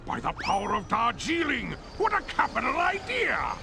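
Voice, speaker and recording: A man voicing a pompous, scheming supervillain in a cartoon game, with a creaky, grandiloquent delivery over music. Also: very high-pitched, huge pitch swings, normal speed, noisy background, full character voice. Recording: music behind the voice.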